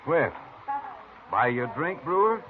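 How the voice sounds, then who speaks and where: An actor playing a polite, easygoing professional gambler and card dealer in a 1950s radio drama. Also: male voice, medium pitch, sing-song, measured pace, crowd noise. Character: smooth, gracious professional gambler man. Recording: scratchy, tinny old radio broadcast.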